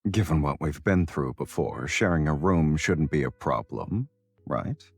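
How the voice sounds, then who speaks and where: Very deep, husky teasing charmer. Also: male voice, lively intonation, exaggerated voice. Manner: unhurried, playful teasing. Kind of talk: romance game voice acting. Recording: music behind the voice.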